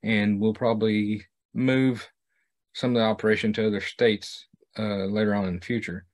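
Man, middle-aged, low-pitched, plain-spoken, halting, vlog host, talking to camera. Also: level tone, a little mellow. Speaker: video series host and explorer.

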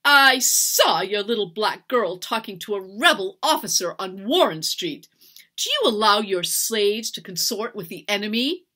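A woman voicing a haughty, cruel mistress of a household in a storybook reading, imperious and given to shrill, emphatic outbursts. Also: big pitch swings, measured pace, bright, forceful tone, heavily acted. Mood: scandalized, mocking. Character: haughty, cruel, raging slave-owning mistress.

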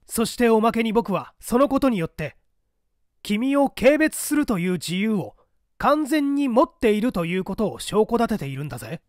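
Guy doing a sardonic rebel party man, high voice, swooping intonation, argues formally in an audiobook drama. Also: big pitch swings, moderate pace, no echo, clean studio sound. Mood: scornful. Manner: argumentative, formal, rhetorical. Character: defiant, questioning male party operative, cool and sardonic. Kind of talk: dramatic audiobook character dialogue.

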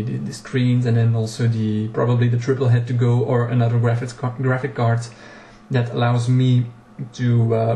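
A man's voice: mid-range voice, mellow, reverberant, slight background noise. A native Dutch-speaking man, a home cockpit builder giving a casual walkthrough video, talking with frequent fillers and restarts, recorded on an action camera in a small home room. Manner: hesitant, many fillers and restarts.

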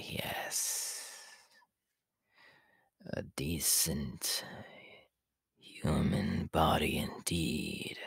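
A sly, power-hungry immortal villain for an ASMR roleplay. His voice is extremely husky and breathy, slipping into a hissing whisper. He draws his words out very slowly with menacing politeness, close and dry on the mic. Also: rumbling low, no room sound, heavily acted. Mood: sly, courteous. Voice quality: raspy, breathy, half-whispered hiss. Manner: slow, drawn-out, menacingly polite. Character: sinister, power-hungry immortal male body-stealer, sly and scheming. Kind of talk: ASMR character roleplay monologue.

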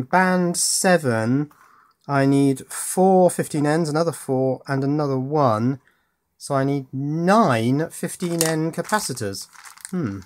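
A man hosting a casual hands-on electronics tutorial, informally thinking aloud as he works. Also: medium pitch. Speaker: host.

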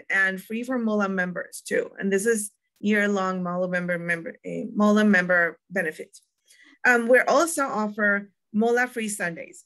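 A woman's voice: medium pitch, sharp tone. A young woman from Puerto Rico with a Puerto Rican accent, presenting a virtual museum tour in a chatty way, with frequent ums and restarts. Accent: Puerto Rican Spanish.

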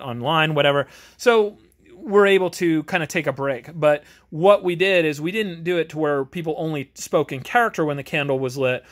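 Man, slightly high voice, casual amateur reviewer, earnest, conversational, enthusiastic, rambling delivery. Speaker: amateur tabletop game reviewer and host. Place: home garage.

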